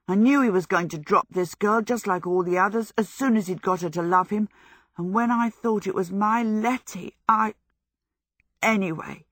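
Woman voicing an exuberant, high-pitched teenage boy. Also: fairly bright tone, no room sound, studio quality. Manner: exuberant, gushing. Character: jubilant, excitable teenage apprentice boy in love. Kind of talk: storybook character voice.

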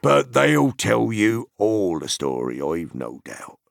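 A man voicing an old male hobbit farmer who talks in a gruff, hearty country dialect. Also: lowish voice, very animated, slow pace, dry recording, studio quality, voice pushed up, exaggerated voice.

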